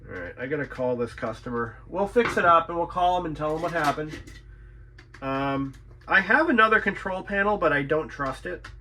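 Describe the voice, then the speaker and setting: A man's voice: fairly high pitch, expressive, normal speed, bright, forceful tone, slight background noise. A man who works as a repair technician, talking unscripted as he inspects his work, thinking aloud, repeating himself and swearing mildly. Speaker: radio repair technician and channel host.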